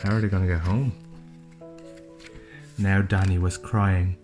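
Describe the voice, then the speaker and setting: Man, low register, father reading a bedtime picture book with casual playful asides over faint music. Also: little pitch movement, measured pace. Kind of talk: storybook read-aloud with casual asides. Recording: music playing behind the voice. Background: plucked guitar music.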